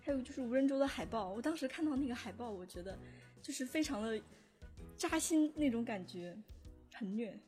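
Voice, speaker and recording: A woman's voice: a little high, animated, brisk, dark tone, faint music behind. A woman chatting casually about creative work, as a composer on an audio drama team. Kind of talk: casual chat.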